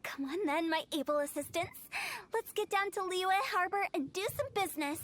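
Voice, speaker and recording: Playful young female funeral director, very high voice, teasing, macabre sales patter, game voice acting. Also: normal speed, noisy background.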